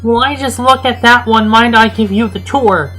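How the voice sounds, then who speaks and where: A woman voicing an eager, high little hedgehog boy. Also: moderate pace, a bit bright, breathy edge, soft background music. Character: friendly, eager seven-year-old boy cartoon hedgehog.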